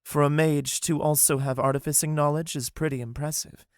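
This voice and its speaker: A man's voice: fairly high pitch, steady tone, fairly quick, no room sound, studio quality, pitched above the performer's own voice, lightly acted. A man voicing a blunt, firm young woman companion.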